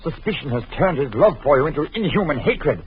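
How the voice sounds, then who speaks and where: A man's voice: on the high side, lively intonation, a little fast, slight background noise, natural voice. An actor playing an indignant, frightened young man in an old radio drama, speaking in an urgent, declamatory way. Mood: desperate. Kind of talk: 1940s radio acting. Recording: muffled old radio recording.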